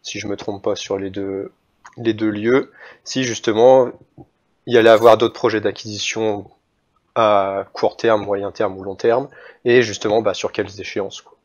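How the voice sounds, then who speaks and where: A man's voice: lowish voice, steady tone, moderate pace. A man hosting an interview, chatting casually and informally with lots of loose filler words. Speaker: host. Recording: dull lo-fi sound.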